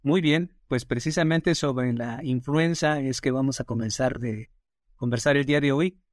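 A man's voice: mid-range voice, moderate pace, dry recording, studio quality. A man, hosting an interview and asking formal, courteous questions. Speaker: interview host. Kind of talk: hosted interview.

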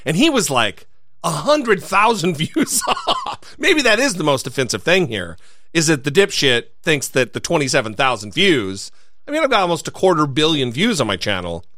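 A man with a lively, up-and-down voice rants with sarcastic exasperation. His tone is bright and forward. He swears freely into a close, dry studio mic. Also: on the high side, normal speed, no room sound. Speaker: podcast co-host. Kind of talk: casual podcast chat.

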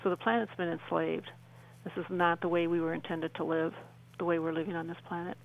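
A middle-aged woman with a noticeably creaky, fry-laden voice explains things as a guest on a radio interview. Her intonation stays flat and narrow. She speaks earnestly and haltingly, circling back to repeat phrases for emphasis. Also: lowish voice.

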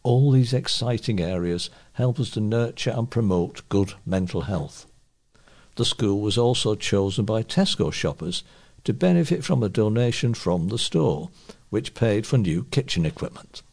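Male volunteer newsreader. Lowish, gravelly voice for scripted local items. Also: big pitch swings, normal speed, a little mellow. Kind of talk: scripted reading of local news.

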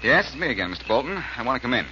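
A determined young detective in an old radio drama. He talks quickly in a lowish voice with lively swings of pitch. The sound is muffled and old. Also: slight background noise. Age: young adult. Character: brisk, determined young amateur detective hero. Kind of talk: 1940s radio acting.

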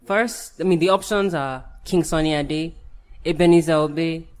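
A woman hosting a podcast interview, bubbly and quick to laugh, a native Yoruba speaker talking in English. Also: low-pitched, a little mellow. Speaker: podcast host. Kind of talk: casual interview chat.

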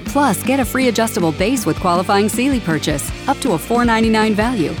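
A woman's voice: fairly high pitch, expressive, fast. A woman announcer reading a scripted retail radio commercial. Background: music with guitar.

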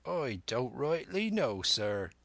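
A man voicing a sheepish, slow-witted old sailor in a storybook reading, answering in a meek, rustic, subdued way. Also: mid-range voice, big pitch swings, vocal fry, a bit bright, dry recording, heavily acted. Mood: sheepish, evasive. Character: sheepish, dim old grey-haired sailor.

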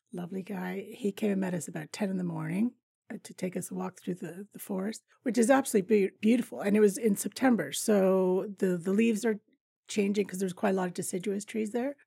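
Raspy, gravelly-voiced woman host. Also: medium pitch, warm tone, dry recording, studio quality. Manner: chatty, rambling, laughs often. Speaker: podcast host. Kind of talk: casual travel storytelling.

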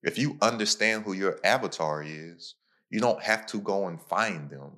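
A young man with a very low, deep voice hosts a laid-back podcast conversation. He tells stories in lively, slangy bursts, and now and then he speeds up. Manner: animated, slangy storytelling with quick bursts. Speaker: podcast host. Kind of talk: casual podcast chat.

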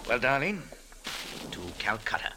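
A man's voice: mid-range voice, very animated, a little slow, noisy background. An American man acting a tough, wry bar owner turned amateur sleuth in clipped 1940s radio drama style. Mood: sly, needling. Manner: wry, clipped, hard-boiled. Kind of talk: 1940s radio drama acting, hard-boiled first-person narration. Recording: old 1940s radio recording with music.

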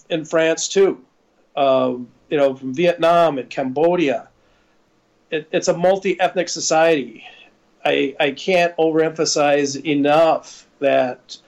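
Slow-talking guy on a sports show, kinda high voice, blunt, lots of uhs. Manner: deliberate, blunt, hesitant fillers. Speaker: podcast co-host. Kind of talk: sports opinion talk.

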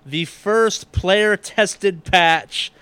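A man's voice: high-pitched, extremely slow, sharp tone, clear, resonant tone, no echo. A man hosting a gaming podcast in casual chat, with a wry, casually profane way of talking. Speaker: podcast host.

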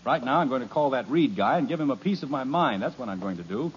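A man's voice: medium pitch, expressive, fast, natural voice. An actor playing an exasperated, sarcastic suburban father in a 1950s radio sitcom. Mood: grumbling. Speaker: father of the family. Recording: dull lo-fi old radio recording, faint hiss.

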